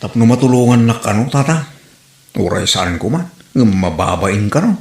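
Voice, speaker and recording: An actor playing a jealous young husband in an audio drama, questioning and grumbling. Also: male voice, on the low side, animated, moderate pace, vocal fry, darkish tone. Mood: uneasy. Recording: echoey room recording.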